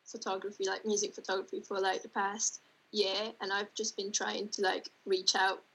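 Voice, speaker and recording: A young woman from Budapest, 18, a Hungarian speaker with a strong English accent. Her voice is slightly husky, and she talks in a relaxed way with small giggles. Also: medium pitch, normal speed, rough recording. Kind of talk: casual self-introduction.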